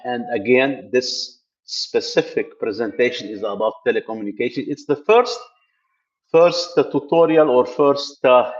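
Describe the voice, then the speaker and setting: A man's voice: medium pitch, measured pace, highs cut off. A middle-aged man from Lebanon hosting a casual tech live stream from a gym, talking loosely with many fillers and restarts. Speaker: tech channel host. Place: building gym, indoors.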